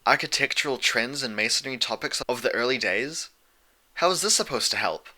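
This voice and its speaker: Man voicing an anxious, bright-voiced bookish pony girl. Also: mid-range voice, lively intonation, a little fast. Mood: puzzled. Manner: precise, hesitant, apologetic. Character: earnest, anxious, bookish young female pony student. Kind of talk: fan fiction audiobook character voice.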